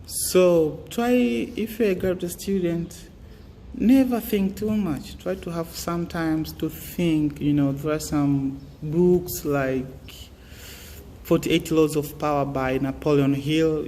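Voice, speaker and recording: Young man, earnest and halting; echoing room, faint hiss. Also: a little high, steady tone, warm tone. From Tanzania. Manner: earnest, halting, many fillers and restarts. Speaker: student giving advice. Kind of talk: casual motivational talk.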